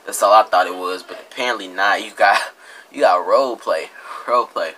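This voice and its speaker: A man's voice: fairly low pitch, brisk, pushed and bright, a little hiss or hum. A young American man giving casual, slangy comedic commentary, mocking and exasperated, often repeating himself. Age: young adult. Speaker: reaction video host. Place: indoor room with green screen.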